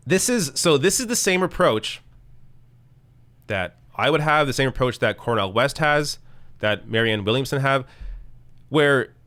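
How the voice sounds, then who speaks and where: A man hosting a live political commentary stream, arguing bluntly and reading tweets aloud, with a voice that is going hoarse. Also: medium pitch, animated, a little slow, long pauses, fairly bright tone, dry recording. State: losing his voice.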